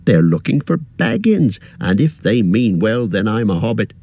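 A man's voice: on the low side, big pitch swings, normal speed, dark tone, husky, no echo, highs cut off, pitched above the performer's own voice, heavily acted. A man reading aloud, voicing a fussy, anxious middle-aged male innkeeper who chatters in a rustic way. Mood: gossipy.

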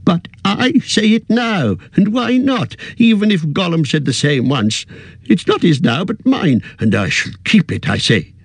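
Petulant elderly hobbit, high quavering voice, lively swings, as a man reading puts him on. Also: unhurried, vocal fry, breathy edge, no echo, full character voice. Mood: possessive. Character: fussy elderly male hobbit, turning possessive.

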